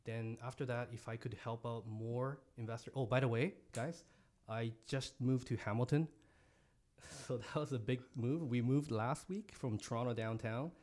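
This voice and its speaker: A young man raised in Japan, a podcast guest speaking earnestly and softly with halting restarts, on a studio mic in an office. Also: mid-range voice. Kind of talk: podcast interview. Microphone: studio mic on boom, headphones.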